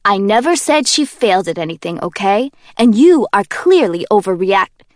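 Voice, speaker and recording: A woman voicing a brash, joking young man who is easily indignant, talking loud and high-strung, full of exclamations. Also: very animated, normal speed, no room sound, studio quality, lightly acted.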